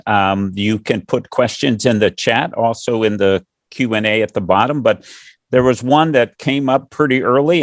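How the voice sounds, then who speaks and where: Relaxed male webinar moderator. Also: medium pitch, no room sound, studio quality. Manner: relaxed, lightly joking.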